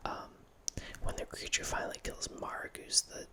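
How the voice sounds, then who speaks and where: A man in his thirties whispering a relaxed ASMR ramble as host, close to a studio mic in a small home room, with frequent restarts. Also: normal speed. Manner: whispering throughout, relaxed film-nerd musing with frequent restarts. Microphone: studio mic close up, headphones on.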